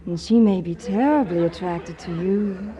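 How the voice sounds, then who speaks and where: A woman's voice: on the low side, normal speed, dark tone, close to an everyday voice. An actress playing a flirtatious, coaxing big-city woman in a 1940s radio comedy, with a theatrical, teasing delivery. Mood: seductive. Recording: noisy 1940s radio broadcast recording.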